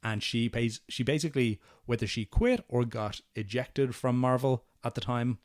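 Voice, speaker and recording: A man co-hosting a podcast, chatting casually through a movie recap and sometimes quoting lines in animated voices. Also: medium pitch, normal speed, no room sound, studio quality. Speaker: podcast co-host.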